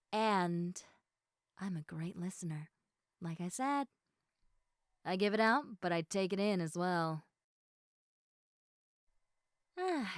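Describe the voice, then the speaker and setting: A woman's voice: on the high side, no room sound, studio quality. A woman playing a bubbly, talkative, eager young woman in a one-sided roleplay, chatting emphatically and playfully straight to the listener. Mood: playful, friendly. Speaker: audio roleplay performer.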